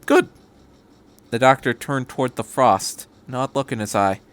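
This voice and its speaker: A man's voice: medium pitch, normal speed, fairly bright tone. A man reading fan fiction aloud as a podcast host, in scripted narration. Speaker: podcast host and story reader.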